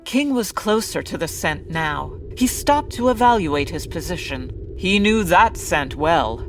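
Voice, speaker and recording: Lowish, dramatic radio-serial narrator. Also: female voice, animated, a little slow, faint music behind. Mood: grand, earnest. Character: earnest, grandiose adventure-serial narrator, easily irritated.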